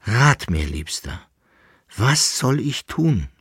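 A man's voice: on the low side, very animated, slow pace, breathy edge, no echo, studio quality, heavily acted. A man voicing a resolute young noblewoman in an audiobook, speaking firmly and formally, with a commanding, secretive manner. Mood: pleading. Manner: firm, hushing, formal old-fashioned speech.

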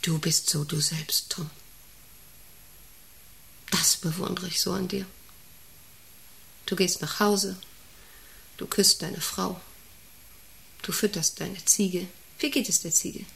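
An actress playing a middle-aged, self-important and intrusive company director in a radio play, rambling and domineering with a creaky voice. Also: female voice, lowish voice, fairly even intonation, slow pace, long pauses, fairly bright tone, full character voice, low-quality recording. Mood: hushed, admiring. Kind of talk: radio play monologue and dialogue.

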